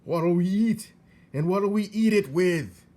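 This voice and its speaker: A man's voice: sits high, takes it slowly, mellow, pitched above the performer's own voice. A man voicing an indignant, grasping peasant uncle in a storybook, exclaiming and protesting. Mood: dismayed. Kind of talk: storybook character reading.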